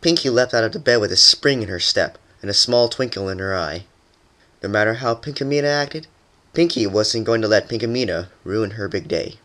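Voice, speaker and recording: A narrator giving an animated dramatic reading of a fan fiction story. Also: medium pitch, a little fast. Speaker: narrator and voice actor.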